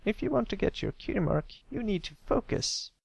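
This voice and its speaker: A man's voice: high-pitched, sing-song, brisk, vocal fry, husky, no room sound, pitched above the performer's own voice, full character voice. A man voicing a brash, bossy young filly pony with a snappy, proud delivery in a read-aloud story. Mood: annoyed.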